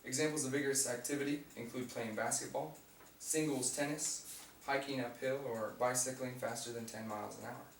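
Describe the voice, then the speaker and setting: A young man with a raspy voice giving an informative class speech, reading from notes with fillers and restarts. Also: medium pitch, steady tone, fairly quick. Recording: echoey room, mic far off, faint hum.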